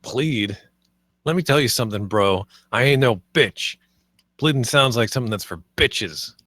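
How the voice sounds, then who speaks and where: A man's voice: mid-range voice, expressive, moderate pace, a bit bright, no echo, studio quality. Man reading as a brash, cocky guy. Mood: defiant. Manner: brash, slangy. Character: cocky, crude young man awaiting murder trial. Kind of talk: table read of a comedy script.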